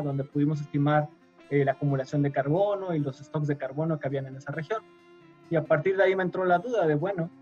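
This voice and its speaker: Soft-spoken man explaining patiently. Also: fairly high pitch, muffled recording. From Mexico. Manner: patient explainer with frequent fillers. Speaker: guest scientist. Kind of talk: radio interview, explaining.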